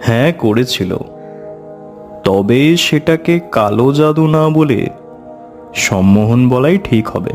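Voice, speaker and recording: An avuncular tantric storyteller recounting eerie memories for a horror cartoon, played by a man. He narrates slowly and with measured suspense in a medium-pitched voice, with light music beneath. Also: animated, a little mellow. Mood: measured, suspenseful.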